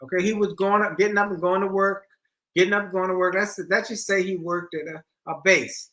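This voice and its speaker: A middle-aged man co-hosting a marriage advice show, retelling a listener's letter in an animated way and often repeating himself. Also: sits high, fairly quick. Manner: animated storytelling, repeats phrases.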